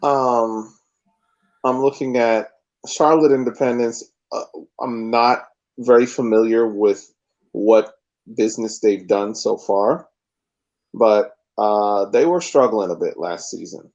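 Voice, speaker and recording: A man with a low, mellow voice talks over a sports podcast, careful and hesitant, pausing often with "uh" and "um." The sound is dull and lo-fi, as if from a cheap mic. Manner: hesitant, measured, many "uh" and "um" pauses. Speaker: podcast co-host. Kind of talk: casual sports podcast chat.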